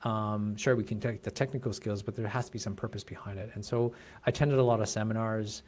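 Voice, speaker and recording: A Canadian man in his forties, a guest on a podcast, telling his story in casual chat with many restarts and quick laughs. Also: fairly low pitch, steady tone, darkish tone. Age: 40s. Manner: fast, rambling, restarts and laughs. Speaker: podcast guest, chiropractic coach.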